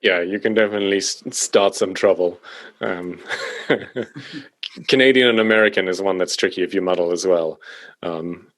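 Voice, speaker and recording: A man from South Africa, a podcast guest, chatting in a relaxed way with many fillers and restarts. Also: deep voice, moderate pace, no room sound. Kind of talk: casual conversation. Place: home in Stockholm.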